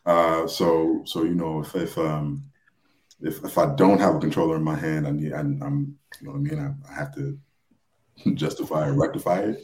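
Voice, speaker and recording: A man chatting casually as a podcast guest, halting and hedging as he repeats his words. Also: extremely low pitch, steady tone, darkish tone. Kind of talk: casual chat. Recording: roomy, echoey sound.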